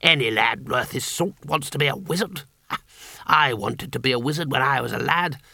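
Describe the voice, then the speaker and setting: A man voicing a portly, jovial male wizard who talks slowly in a hearty, patronizing way. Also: mid-range voice, vocal fry, bright, forceful tone, no echo, heavily acted.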